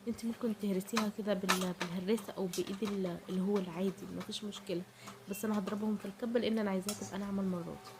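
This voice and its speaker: An Egyptian woman narrates a recipe in a casual, chatty style, speaking directly to viewers. Her pace is extremely fast and her pitch sits in an average female range. A heavy cold alters her voice, and some background noise and clicking runs under the recording. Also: steady tone. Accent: Egyptian Arabic. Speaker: home cooking vlogger.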